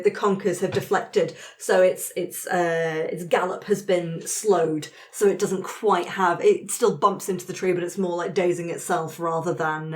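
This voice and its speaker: Casual woman narrating a tabletop game. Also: lowish voice.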